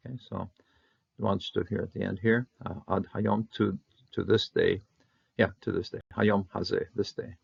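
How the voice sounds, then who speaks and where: A man teaching a Bible Hebrew lesson, talking haltingly with many fillers and restarts, his voice jumping up on each Hebrew word. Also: lowish voice, steady tone, creaky, slightly rough, lo-fi sound. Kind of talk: unscripted verse-by-verse Hebrew lesson.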